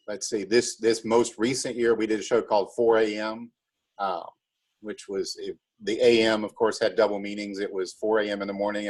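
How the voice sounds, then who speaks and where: A man with a calm voice, giving casual, explanatory interview answers like a teacher. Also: lowish voice, slightly rough. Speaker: high school math teacher and drama director.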